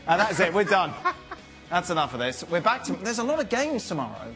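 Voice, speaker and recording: A man hosting a football show from a TV studio, his voice sitting a little high and moving around a lot as he banters with the panel. Light music plays faintly underneath. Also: big pitch swings, a bit bright. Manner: bantering, quick to laugh. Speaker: show host. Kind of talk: casual studio chat.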